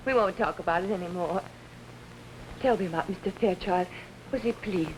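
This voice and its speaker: An actress playing an ambitious, manipulative young pianist in early 1950s television drama, pleading in a melodramatic way. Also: female voice, lowish voice, normal speed, natural voice. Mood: wounded, tender. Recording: noisy old 1950s TV soundtrack.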